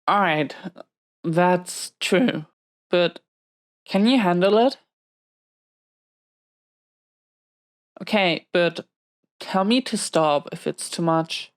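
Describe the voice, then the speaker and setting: A woman playing a protective, hot-tempered but tender supervillain in prison, in an intimate audio roleplay, speaking defensively and hesitantly to an unseen listener. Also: fairly low pitch. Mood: hesitant, vulnerable.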